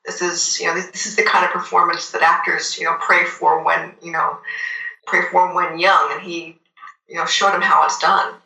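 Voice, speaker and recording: A woman's voice: fairly low pitch, little pitch movement, normal speed, vocal fry, sharp tone. A woman chatting casually about film and TV as a podcast co-host, emphatic and repeating phrases for stress. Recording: roomy, echoey voice recording.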